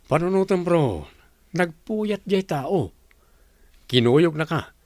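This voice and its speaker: A man's voice: on the high side, expressive, slow pace, a little mellow, no room sound. A man with a Filipino accent acting a frank young confidant in a comedy radio drama, incredulous and chiding.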